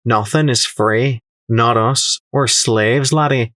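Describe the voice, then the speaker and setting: A man's voice: medium pitch, slow, a bit bright, no room sound. A shrewd pony merchant from a storybook, acted out by a male narrator, talks down to whoever is listening. The delivery is sly, airy and sneering, recorded dry with no room sound. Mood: menacing, condescending. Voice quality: flighty, airy. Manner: sneering, condescending, calling others laddie.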